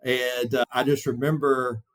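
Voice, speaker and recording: A man's voice: mid-range voice, little pitch movement, unhurried. A man who competes in barbecue, a podcast guest telling a long, rambling story in a casual way. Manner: talkative, rambling anecdotes.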